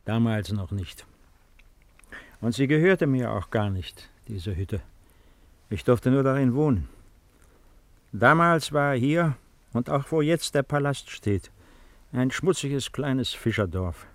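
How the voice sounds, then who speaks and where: A man's voice: medium pitch, animated, takes it slowly, long pauses, dry recording. An older man playing a brooding, wistful old emperor in a 1950s radio drama, speaking in a reflective, musing way. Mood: wistful, bitter.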